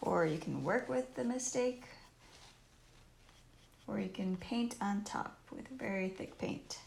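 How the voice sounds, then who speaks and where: A woman with a croaky voice casually teaching painting on a livestream, thinking aloud in short phrases as she works. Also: mid-range voice, expressive, slow pace, darkish tone. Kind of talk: casual live painting tutorial. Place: indoors at home, live stream while painting. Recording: roomy live stream with faint noise and clicks.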